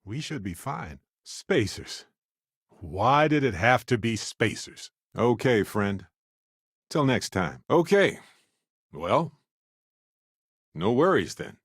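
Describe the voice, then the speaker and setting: A man playing a friendly, wry, quick-witted space explorer companion in a video game, with a playful and expressive delivery. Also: medium pitch, slightly rough, no room sound, studio quality, full character voice. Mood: friendly, casual. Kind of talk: acted video game dialogue lines.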